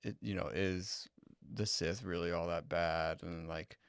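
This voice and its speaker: A man's voice: low voice, fairly even intonation, a little slow, creaky, smooth, no room sound, clean studio sound. A man co-hosting a podcast, speaking casually and hesitantly, often repeating words as he searches for them. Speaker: podcast co-host. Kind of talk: casual chat.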